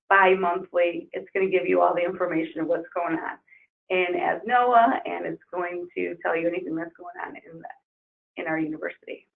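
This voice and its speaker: Hoarse woman trainer on a tinny webinar. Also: on the low side. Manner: upbeat, enthusiastic promoting. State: losing her voice. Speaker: insurance software trainer. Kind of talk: webinar screen-share walkthrough. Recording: tinny phone-like webinar audio.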